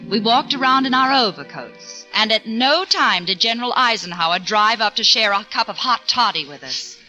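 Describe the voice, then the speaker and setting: A woman's voice: a little high, lively intonation, moderate pace, sharp tone, low-quality recording. An actress playing a wry wife narrating her family's misadventures in a 1940s radio play. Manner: wry, storytelling. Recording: old radio broadcast with music.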